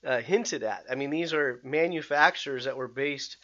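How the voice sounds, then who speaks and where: Excitable rambling man, dull lo-fi sound. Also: medium pitch, normal speed. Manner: rambling, excitable, many restarts and fillers. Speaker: podcast host. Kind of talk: casual podcast chat.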